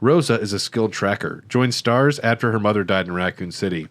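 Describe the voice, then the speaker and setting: A man's voice: fairly low pitch, a little fast. A man co-hosting a podcast, giving a casual comedic recap partly read from notes, with crude jokes. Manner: joking, crude humor. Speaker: podcast co-host.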